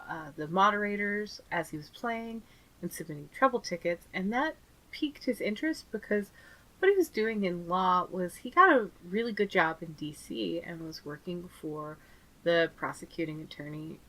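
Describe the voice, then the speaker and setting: A woman's voice: fairly low pitch, fairly bright tone, rough recording. Chatty woman recapping a convention. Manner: chatty, laughs often, acts out quotes. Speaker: podcast co-host. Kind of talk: casual recap chat.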